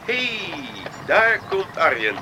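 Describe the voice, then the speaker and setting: A man's voice: high-pitched, sing-song, slow pace, exaggerated voice. A man acting a good-natured, curious, sympathetic countryman in a Dutch radio play. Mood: surprised, amused. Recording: noisy radio play recording.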